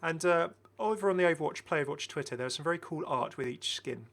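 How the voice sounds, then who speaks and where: A man's voice: on the high side, fast talker, a bit bright. A middle-aged man giving enthusiastic, casual, chatty commentary as a gaming video host, often repeating his phrases. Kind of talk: casual game skin showcase commentary.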